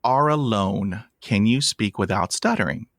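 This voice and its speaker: A man's voice: on the low side, expressive, measured pace, a bit bright, no room sound, studio quality. A man hosting a podcast interview, talking enthusiastically with an occasional stutter. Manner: enthusiastic, stutters with blocks and repeats. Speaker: podcast host. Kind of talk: casual interview chat.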